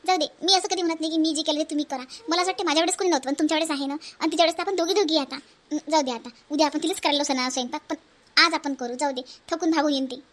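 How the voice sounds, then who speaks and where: Dutiful, conciliatory eldest sister-in-law for a family cartoon, played by a woman: high, brisk, hesitant delivery, Varhadi Marathi accent. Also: steady tone, close to an everyday voice. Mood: gentle, reassuring. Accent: Varhadi Marathi from Vidarbha. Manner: conciliatory, hesitant, self-sacrificing. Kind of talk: homely family cartoon voice acting.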